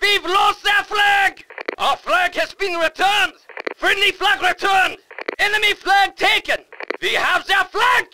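Fervent wartime German soldier, video game announcer: clipped urgent barks, shouted, over noise. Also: male voice, very high-pitched, slow, exaggerated voice.

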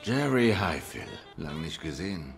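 A cool feline detective from a noir video game. His voice sits low, and he talks in terse, dry, probing lines. Soft music runs underneath. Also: big pitch swings, a little slow, vocal fry, exaggerated voice.

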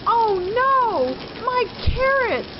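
A woman's voice: very high voice, big pitch swings, drawn-out words, highs cut off, very noisy, voice pushed up, exaggerated voice. A woman voicing an eager, worried male rabbit in a storybook, in an exclamatory, pleading way. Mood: distraught.

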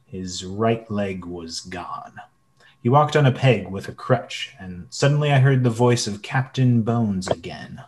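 A man's voice: lowish voice, a little slow, a little mellow, a little hiss or hum, close to an everyday voice. A man playing a narrator who recalls his boyhood sea adventure in a radio play. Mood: reflective, storytelling. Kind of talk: scripted narration.

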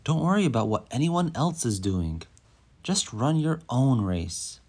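A man's voice: mid-range voice, sing-song, measured pace, a little mellow, breathy edge, full character voice. A man voicing an encouraging young girl in a storybook, earnest and coaxing as she coaches her pet. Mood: reassuring, coaxing.

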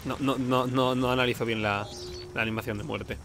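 A man's voice: mid-range voice, fairly even intonation, a little fast, background noise. Casual male game streamer, Spain accent, informal and sweary, talks to himself over noticeable game sound. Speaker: let's play host. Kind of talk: casual gameplay commentary.

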